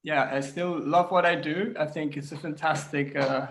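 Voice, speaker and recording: Man from Switzerland, English speaker, halting speech full of ums, home kitchen, video call. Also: a little high, steady tone, fairly bright tone. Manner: hesitant, many fillers and restarts. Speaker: designer, interview guest. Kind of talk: casual video-call interview.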